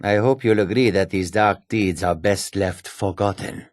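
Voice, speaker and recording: A male actor playing a courteous, sly humanoid cat trader in a fantasy video game, with a gravelly, husky voice and measured, formal speech. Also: fairly low pitch, dry recording, clean studio sound, lightly acted. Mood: grateful. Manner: measured, formal, refers to himself in third person.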